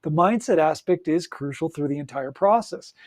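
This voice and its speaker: A man's voice: fairly high pitch, normal speed, darkish tone, no room sound, studio quality. A man giving an upbeat, encouraging solo talk as a coach, speaking straight to the listener with frequent fillers and restarts. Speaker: audiobook coach.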